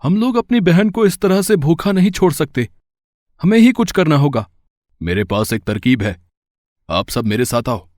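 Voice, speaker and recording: A man's voice: on the high side, lively intonation, moderate pace, a little mellow, no room sound, studio quality, close to an everyday voice. A man playing a protective, scheming and worried brother in a folktale, with emphatic, theatrical delivery. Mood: coaxing.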